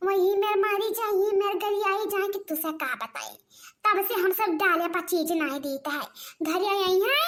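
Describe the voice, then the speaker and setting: A performer voicing an excitable, gossipy, argumentative village woman in a comic Awadhi cartoon, with a rustic Awadhi accent. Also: very high voice. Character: excitable rural Awadhi village women haggling over a wedding match.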